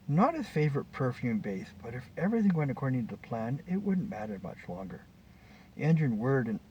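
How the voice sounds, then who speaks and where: A man's voice: medium pitch, dark tone. A man reading his short story aloud as scripted narration. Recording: distant mic, dull, faint background noise.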